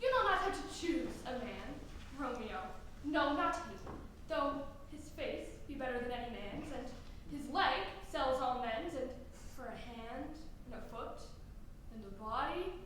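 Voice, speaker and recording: A woman's voice: high voice, expressive, a little slow, fairly bright tone, a little hiss or hum. A woman playing an old nurse who grumbles about her aches and teases, in a stage Shakespeare performance. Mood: teasing. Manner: complaining, dawdling, theatrical. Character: fussy old female nurse, achy and teasing. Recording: echoey hall from far off.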